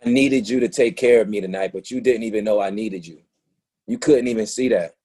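A man acting in a play reading as a wounded, confrontational young boyfriend, with a slightly husky, halting delivery. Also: on the low side, mellow. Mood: hurt.

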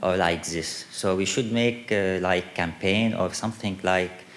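A man with a very deep voice and a Syrian accent speaks from the audience. His first language is Arabic, and he builds his unscripted English haltingly, in broken phrases. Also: fairly bright tone. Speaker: audience questioner. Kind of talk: unscripted question at a town hall.

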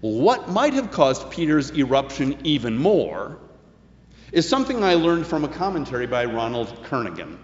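A man preaching a sermon as a pastor, phrasing his points deliberately and emphatically with some colloquial turns. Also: medium pitch, very animated. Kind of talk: sermon with scripture commentary. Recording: echoey hall, long reverb, dull sound.

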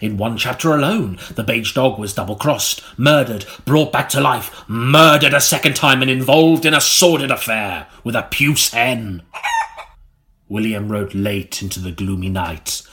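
A man's voice: medium pitch, bright, forceful tone. Melodramatic male audiobook narrator. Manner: melodramatic, deliberate delivery. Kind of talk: mock-serious scripted gothic narration. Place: recording studio.

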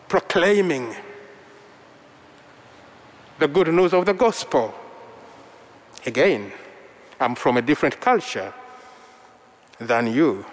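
A man from Uganda whose first language is Luganda, preaching a sermon in slow, measured short phrases. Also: fairly high pitch. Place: church. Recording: long reverb of a large hall.

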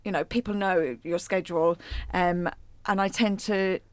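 A woman's voice: lowish voice, steady tone, normal speed, clear, resonant tone, no room sound. A woman hosting a podcast, gushing and filler-heavy, eagerly chatting with her guest. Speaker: podcast host. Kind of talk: casual interview chat.

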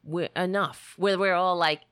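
A woman hosting a political livestream with a worn, raspy voice, talking bluntly and sarcastically while reading the chat. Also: lowish voice, fairly even intonation, a little slow, fairly bright tone, dry recording. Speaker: livestream show host.

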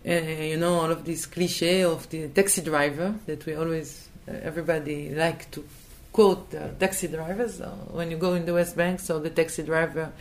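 A woman's voice: low-pitched, steady tone, normal speed, hardly any pauses. A woman from Israel, answering in a thoughtful interview and hesitating and restarting as she looks for words. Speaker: interview guest.

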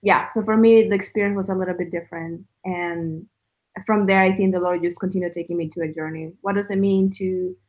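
A woman's voice: lowish voice, fairly even intonation, fairly quick, a little mellow, rough recording. Woman. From El Salvador. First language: Spanish. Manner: earnest, reflective, with frequent fillers. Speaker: guest sharing a faith story. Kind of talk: casual testimony chat.

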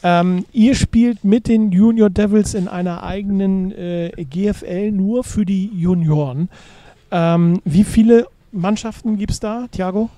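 A man hosts a radio interview. His voice sits high, yet its tone is very dark and mellow. The sound is dry and close. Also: measured pace, no room sound. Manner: upbeat, reads sponsor copy, asks short prompts. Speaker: radio show host.

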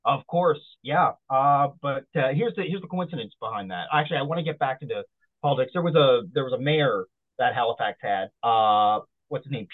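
Rambling man on a phone line. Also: mid-range voice. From Nova Scotia, Canada. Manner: rambling, halting, many uh fillers. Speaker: comedian guest. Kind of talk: casual interview.

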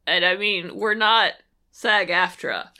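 A woman with a bright, forward voice at a middle pitch, chatting as a podcast co-host. She is casual and wry, cutting in with short joking remarks. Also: takes it slowly. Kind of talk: casual bantering podcast chat.

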